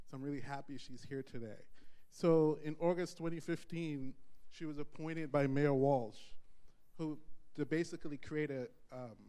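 A man's voice: mid-range voice, rough recording. Man casually introducing a speaker. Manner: relaxed, chatty introduction with some reading aloud. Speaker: event host introducing the speaker. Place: large bright conference room. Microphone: handheld mic.

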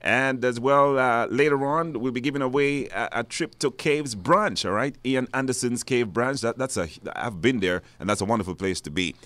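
A man's voice: mid-range voice, normal speed, few pauses, a bit bright, no room sound. Belizean guy hosting a live call-in radio show, asks callers stuff, close dry studio sound. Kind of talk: live phone-in talk, questioning callers.

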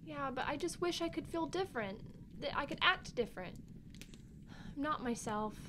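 Woman as a high, bright, emotional little girl. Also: lively intonation, normal speed, slight background noise. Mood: sad, confiding. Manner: gushing, childlike, openly emotional. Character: excitable, sensitive young girl, grieving little sister. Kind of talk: family audio drama acting.

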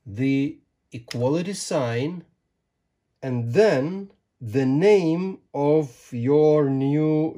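Male tutorial presenter, sixties. Slow, deliberate delivery with emphatic phrasing and a relaxed, mellow timbre. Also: mid-range voice. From Lithuania. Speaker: ham radio tutorial presenter. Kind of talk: step-by-step tutorial explanation. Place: small home radio room, indoors.

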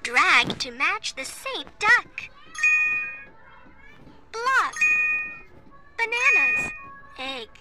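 Very high, bright childlike learning-game voice. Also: very animated, very slow, pauses often. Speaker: voice prompts in a children's learning app. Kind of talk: scripted educational game prompts, one word or short phrase at a time. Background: music.

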